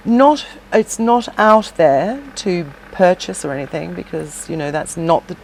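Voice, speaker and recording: A woman's voice: on the low side, unhurried, darkish tone, a little hiss or hum, low-quality recording. A middle-aged woman, a former singer, chatting casually in an interview, with many fillers and restarts. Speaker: podcast guest, shop owner and former singer.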